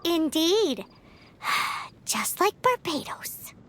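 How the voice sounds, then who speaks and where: A woman voicing a tiny, chatty, excitable fairy companion girl in a video game, with a squeaky childlike voice. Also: very slow, heavily acted. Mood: exasperated. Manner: refers to self in third person, excitable. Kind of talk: animated video game voice acting.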